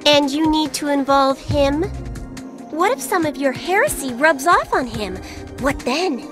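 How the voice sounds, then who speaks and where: A woman's voice: high voice, expressive, unhurried, music in the background. A woman voicing a small, cheeky female fairy in a video game, blunt, flippant and teasing. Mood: challenging. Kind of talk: video game cutscene acting.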